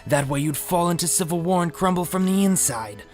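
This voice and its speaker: A man's voice: sits high, lively intonation, fairly quick, music in the background. An actor playing a cynical, frank male enemy ninja spy held captive in an audio drama. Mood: matter-of-fact, menacing. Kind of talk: fan audio drama acting.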